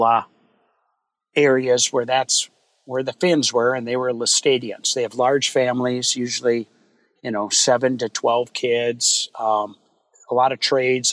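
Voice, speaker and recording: Relaxed man recalling his family. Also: medium pitch, dry recording. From Minnesota, US. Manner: relaxed, rambling with many fillers. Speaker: guest, wildfire fighter. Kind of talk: casual interview chat.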